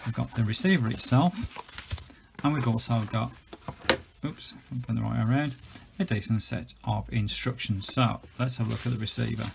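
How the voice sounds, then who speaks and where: A man's voice: mid-range voice, steady tone, a little fast, creaky, background noise. A British man giving a chatty, enthusiastic, unscripted gadget review, explaining things as he goes. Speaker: product reviewer.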